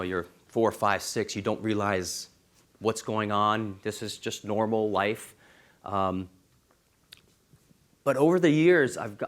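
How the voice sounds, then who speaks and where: A man from Israel, a law professor, giving a university seminar talk in an earnest way and stressing key figures. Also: mid-range voice.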